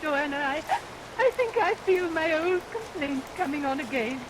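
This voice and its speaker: High-voiced, self-pitying pious mother. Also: lively intonation, moderate pace. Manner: fussy, long-suffering, melodramatic. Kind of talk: vintage radio melodrama acting. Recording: vintage radio recording under rain noise.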